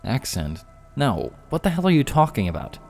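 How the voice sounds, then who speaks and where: A man's voice: medium pitch, wildly swooping pitch, moderate pace, creaky, a little mellow, faint music behind, pitched above the performer's own voice, heavily acted. A man voicing Jeff, a bewildered, exasperated detective in a storybook reading. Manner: baffled, indignant.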